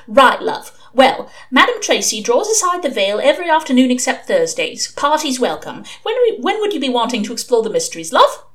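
A woman's voice: high-pitched, big pitch swings, a little fast, hardly any pauses, voice pushed up. A woman voicing a chatty, familiar female fortune-teller with colloquial, chirpy speech, in an audiobook. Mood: breezy, businesslike.

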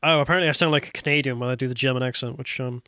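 A man's voice: mid-range voice, steady tone, very fast, pushed and bright, no echo, clean studio sound. A man co-hosting a podcast, talking casually, laughing easily and reading viewer comments aloud. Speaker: podcast co-host.

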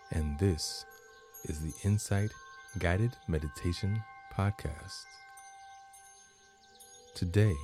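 Male meditation host, low register, unhurried and deliberate delivery with long pauses, music underneath. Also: dark tone. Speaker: guided meditation podcast host. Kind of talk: guided meditation narration.

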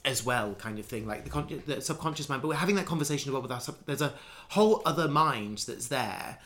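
A man's voice: medium pitch, fast, fairly bright tone. A man in casual conversation, musing at length with many restarts and fillers. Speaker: conversation partner.

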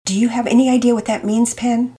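Woman playing patient mother, gentle, calm, explaining, slightly raised voice. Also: on the high side, brisk, pitched above the performer's own voice, close to an everyday voice. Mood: teaching. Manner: calm, warm, teaching tone.

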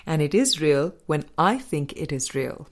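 A woman reading a spiritual book aloud as a scripted audiobook, in measured, deliberate short phrases. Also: low-pitched, big pitch swings. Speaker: audiobook reader.

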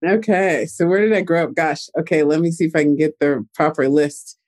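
Chatty young guy telling his story in an interview, mellow tone. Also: fairly high pitch, steady tone, brisk. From United States. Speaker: guest. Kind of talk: casual interview talk.

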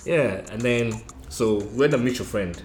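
A man's voice: medium pitch, moderate pace, a little mellow, faint music behind. A young man from Kenya with a Nairobi accent, chatting casually and laughing often. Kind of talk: casual chat. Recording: echoey room with faint noise.

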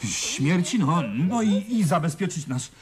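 An actor playing a practical, decisive nephew who takes charge in a radio drama. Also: male voice, high-pitched, expressive, unhurried. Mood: brisk, decisive.

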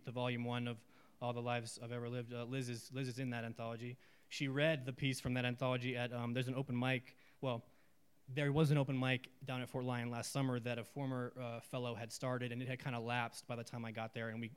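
A man who leads a writing workshop, introducing his students' pieces in a loose, halting way and reading them aloud slowly and deliberately. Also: mid-range voice, flat delivery, smooth. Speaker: writing workshop leader reading participants' work. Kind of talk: live reading to an audience.

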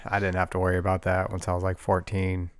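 A man's voice: low voice, monotone, fast, crowd noise. A man co-hosting a podcast, talking in loose banter and laughing often. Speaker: podcast co-host. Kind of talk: casual chat.

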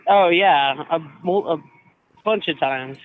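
A man's voice: on the high side, takes it slowly. A young man on a telephone call, speaking casually and hesitantly as a hotel employee, over a tinny, narrow line. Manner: hesitant, filler-heavy, informal. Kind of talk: casual phone conversation.

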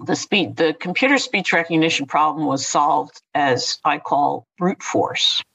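A man's voice: on the high side, a little slow, creaky, bright, forceful tone, husky, dry recording, low-quality recording. An older man from Philadelphia with a slight Philadelphia accent, chatting casually and laughing often in a panel discussion.

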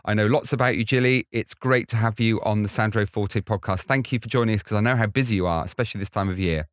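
A man's voice: lowish voice, fast talker, no echo, studio quality. A man hosting a podcast, introducing and interviewing a guest in a conversational, articulate way. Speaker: podcast host.

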